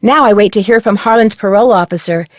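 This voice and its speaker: A woman's voice: mid-range voice, fast, dry recording, close to an everyday voice. A woman playing a level-headed, determined teenage girl detective in a video game, speaking in a composed, friendly, matter-of-fact way. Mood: focused, determined.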